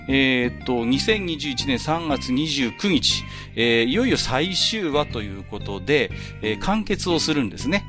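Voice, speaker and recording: A man hosting a podcast, explaining things in a casual but polite conversational monologue. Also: mid-range voice. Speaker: podcast host. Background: music under the voice.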